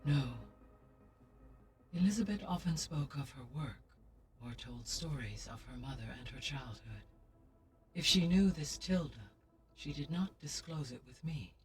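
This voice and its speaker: A calm, formal AI. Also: male voice, fairly high pitch, slight background noise. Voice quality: measured, formal, even delivery. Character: calm artificial intelligence guiding the heroine. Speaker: game character. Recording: slightly distant, under game music.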